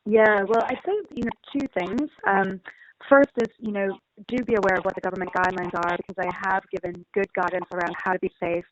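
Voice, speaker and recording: A woman's voice: medium pitch, steady tone, brisk. A woman chief executive explaining things conversationally in a phone interview, with frequent "you know"s. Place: on a telephone call. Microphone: telephone. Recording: tinny phone line with background noise.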